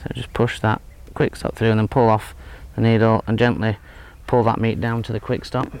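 Man, thirties, angling instructor, casual and halting, monotone delivery, lakeside outdoors. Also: on the low side, clear, resonant tone. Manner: relaxed, hesitant, many fillers and restarts. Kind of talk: casual fishing tutorial. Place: outdoors at a lakeside fishing spot. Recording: faint outdoor background noise. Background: faint outdoor ambience.

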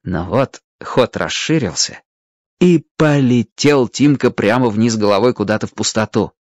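A man's voice: fairly low pitch, unhurried, a little mellow, no echo, muffled recording. A man narrating a children's adventure story expressively from a script, as the narrator of a radio play.

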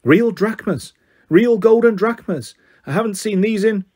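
A man voicing a sly, greedy gatekeeper of the underworld in a storybook, smooth and condescending with a British accent. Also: sits high, sing-song, normal speed, darkish tone, pitched above the performer's own voice.

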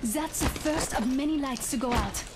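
Actress as earnest game scientist girl, high-pitched and sensitive, under loud music. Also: normal speed, lightly acted. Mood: alert. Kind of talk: video game character lines.

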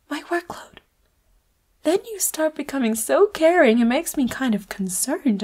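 A breathy, fairly high female voice for a seductive leopard-hybrid boss in an intimate audio roleplay, played by a woman. She speaks very slowly and often slips into whispers, coy and teasing, dangerous yet tender toward the listener. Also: exaggerated voice. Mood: teasing, fond.

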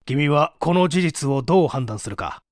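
Prosecutor, male, played by a man reader: stern, methodical, formal interrogator for crime audiobook, measured delivery, dry sound. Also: fairly high pitch, animated, no echo, lightly acted. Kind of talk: dramatic audiobook reading.

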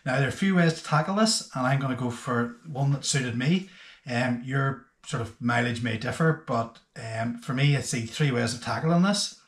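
A man, hosting a casual boating explainer to camera in a hesitant, unscripted way with frequent pauses. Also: medium pitch. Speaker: boating video host. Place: small indoor workshop room with bare walls. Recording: echoey bare room.

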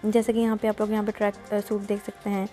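A woman's voice: mid-range voice, completely flat delivery, fast, over music. A woman vlogger, chatting through a market tour and explaining prices. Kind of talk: casual voice-over narration.

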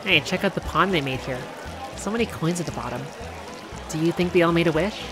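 A performer voicing a cheerful, affectionate girlfriend on a theme park date, speaking gently and unhurriedly in a one-sided ASMR roleplay. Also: mid-range voice, over music, natural voice. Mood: cheerful, caring. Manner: gentle, unhurried, softly conversational.

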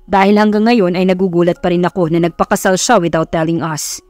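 A woman's voice: fairly low pitch, fairly even intonation, a little fast, darkish tone, dry recording, lightly acted. A woman voicing a stern, exasperated father in an audio romance story, serious and scolding.

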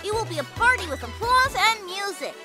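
A woman voicing an excitable, curious little child in a children's cartoon, full of exclamation. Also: very high-pitched, brisk, over music.

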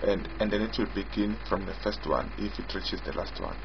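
A man giving an informal screen-recorded software demo as its developer, in a halting way, often repeating and rephrasing. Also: fairly low pitch, vocal fry, very noisy. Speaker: software developer demonstrating own app. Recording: dull lo-fi mic with prominent music.

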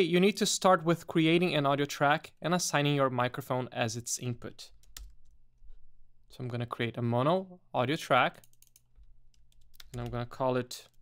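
Methodical man narrating a software tutorial. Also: a little high. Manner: methodical, explanatory. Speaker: tutorial presenter.

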